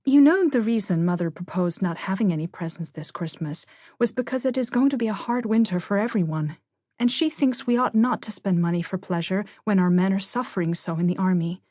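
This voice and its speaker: A woman's voice: medium pitch, animated, fairly quick, mellow, no room sound, clean studio sound, natural voice. A woman voicing a proper teenage eldest sister, sweet but complaining, with a lecturing, plaintive manner in an audiobook. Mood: earnest, regretful.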